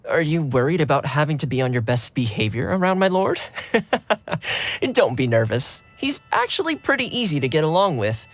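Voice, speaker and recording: A woman's voice: deep voice, very animated, moderate pace, no room sound, exaggerated voice. A woman playing a playful, reassuring young attendant in a video game, casual and friendly. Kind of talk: English game dub acting.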